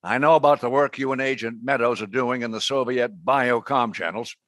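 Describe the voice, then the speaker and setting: A man playing a commanding American intelligence director in a radio drama, authoritative and deliberate. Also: mid-range voice, a bit bright, no room sound, natural voice. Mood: measured, intent. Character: brisk male intelligence agency director, commanding boss.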